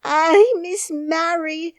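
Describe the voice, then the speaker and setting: A woman voicing an excitable young housemaid with a Yorkshire dialect, speaking loud and high. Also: unhurried, no room sound, studio quality. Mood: alarmed. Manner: shrill, loud and agitated.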